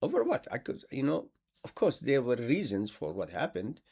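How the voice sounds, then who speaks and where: Male preacher, gravelly and animated, sarcastic and repetitive. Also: mid-range voice, normal speed. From Palestine. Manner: sarcastic, repeats words for emphasis. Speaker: Islamic preacher. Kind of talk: impassioned monologue.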